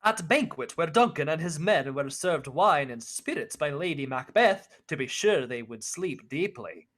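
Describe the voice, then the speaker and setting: A man's voice: a little high, animated, moderate pace, a bit bright. A man narrating a radio play in a plain storytelling manner.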